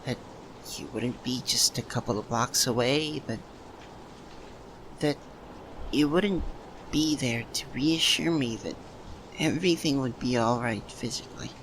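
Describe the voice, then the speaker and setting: A man playing a lovesick young man confessing to a childhood best friend in a halting ASMR roleplay monologue. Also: mid-range voice, fairly bright tone, slight background noise, natural voice, poor audio. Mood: sad, regretful. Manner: halting, breaks phrases with long pauses.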